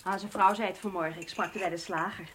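An actress playing an anxious, ambitious, nagging wife in a radio drama, insistent and fretful. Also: female voice, mid-range voice, steady tone, fast, close to an everyday voice. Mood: worried, fretful. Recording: noisy, clicks and clattering dishes.

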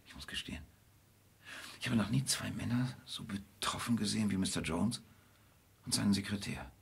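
An actor playing a brooding, detached gentleman in a radio drama, speaking reflectively and often hushed, with sudden urgency. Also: lowish voice, fairly even intonation, normal speed, creaky, breathy edge, exaggerated voice. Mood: wry, reporting. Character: brooding, detached gentleman in love with an endangered woman.

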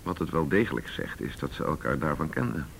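A man acting in a radio play as a dogged, assured senior police investigator with a gravelly voice. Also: sing-song, a little fast, full character voice. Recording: noisy radio play recording with rumble.

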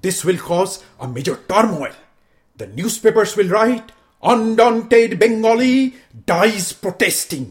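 A man's voice: high-pitched, drawn-out words. An actor playing a fired-up middle-aged father, theatrical and boastful about his protest plans, in an audio play. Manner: boastful, theatrical, mixing in English phrases.

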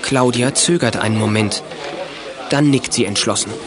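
A man's voice: mid-range voice, moderate pace, a crowd in the background, natural voice. A man narrating a youth radio play in a scripted storytelling style.

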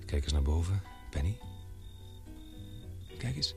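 An actor playing a practical, teasing, level-headed expedition organiser in a Dutch radio play, with dry delivery. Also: male voice, deep voice, sing-song, slow pace, gravelly, loud music behind the voice, heavily acted. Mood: hushed, wondering.

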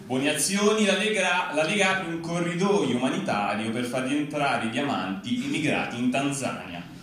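A man's voice: medium pitch, animated, moderate pace, a bit bright. A man reading a satirical news bulletin live, with an emphatic newscaster delivery that drops into each punchline. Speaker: satirical newsreader on stage. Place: large echoey venue at a live reading event. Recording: very echoey hall, distant, faint noise.

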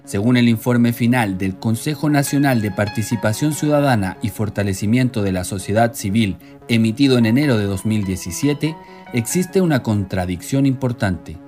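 A man's voice: mid-range voice, expressive, moderate pace, a little mellow. A man reading scripted, informational radio narration. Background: music.

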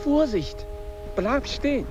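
A man's voice: extremely high pitch, animated, slow, full character voice. An actor playing a worried male friend who urges caution, anxious and urgent, in a radio drama. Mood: alarmed. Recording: dull sound, music behind.

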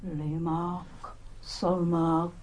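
A woman's voice: on the low side, flat delivery, extremely slow, over a noisy crowd. An actress playing an entranced witch in a radio drama, chanting strange words one at a time. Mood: droning, trancelike. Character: entranced witch intoning a death spell.

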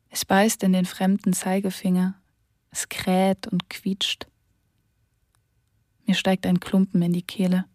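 Female diary reader, measured and sober, dead-dry booth. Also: medium pitch, a little mellow, no echo. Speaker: narrator reading a diary. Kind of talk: literary diary reading for radio.

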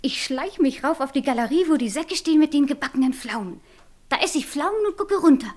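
An actress playing a giddy, dreamy innkeeper's young daughter in a German radio play, excitable, girlish and snobbish. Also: high-pitched, brisk. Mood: teasing, giddy.

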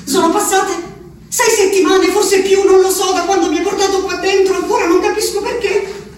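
An actress playing a desperate, furious asylum inmate in a theatrical radio drama monologue, intense, accusing and pleading. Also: female voice, very high-pitched, fairly even intonation, normal speed, slight background noise. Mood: bitter, restrained. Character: desperate, furious woman locked in an asylum, writing letters. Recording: very echoey, distant, music behind.

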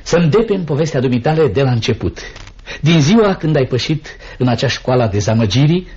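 An actor playing a generous, righteous gentleman employer with a courteous, assured manner in a Romanian radio drama. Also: mid-range voice, expressive, slightly rough. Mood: genial, concerned. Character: genial, righteous, generous gentleman business owner. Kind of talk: Romanian radio theatre acting. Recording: dull lo-fi radio drama recording.

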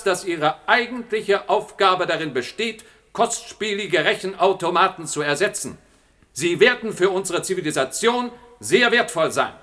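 An actor playing a smug, scheming middle-aged general in a German science-fiction radio drama, with an oratorical, condescending manner. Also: male voice, sits high, a bit bright. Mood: solemn, rousing.

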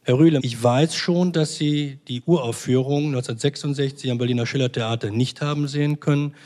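A man's voice: mid-range voice, steady tone, a little fast, dark tone. A man moderating a panel, putting questions to a guest. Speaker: discussion moderator.

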